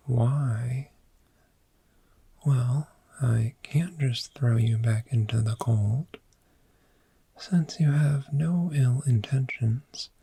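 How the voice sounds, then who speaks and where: A man voicing a friendly, gentle dragon in a soft-spoken, soothing ASMR roleplay, with a breathy whisper and long pauses. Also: mid-range voice, no room sound, heavily acted. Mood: gentle, curious. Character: friendly, gentle cave dragon fond of sleep.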